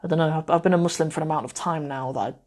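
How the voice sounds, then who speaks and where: A man, an Arabic teacher chatting casually through a live Q&A, meandering and often trailing off softly. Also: a little high, steady tone, rapid-fire. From Cornwall, England. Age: 20s. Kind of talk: casual livestream chat. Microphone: phone mic.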